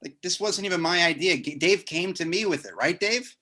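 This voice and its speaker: Male, thirties, actor as chummy, needling rival comic; very bright, slightly high voice, comedy table read. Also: brisk. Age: 30s.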